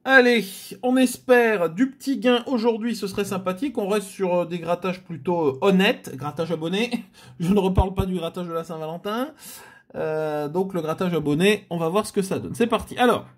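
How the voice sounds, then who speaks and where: A man hosting a scratch-card video in a casual chat style, relaxed and hesitant with frequent fillers. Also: sits high, moderate pace. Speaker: host of a scratch-card channel.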